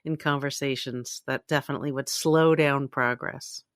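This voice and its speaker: A woman's voice: a bass voice, steady tone, moderate pace, dry recording. Dry-sounding woman in casual podcast chat. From Toronto, Canada. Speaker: podcast co-host. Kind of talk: casual chat about entertainment news.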